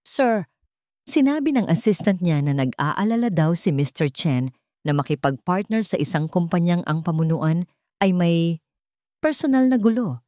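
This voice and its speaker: A woman's voice: lowish voice, expressive, normal speed, warm tone, no echo, clean studio sound, natural voice. A woman with a Filipino accent voicing a hesitant, deferential male executive assistant in an audio drama, formal and polite. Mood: hesitant, apologetic.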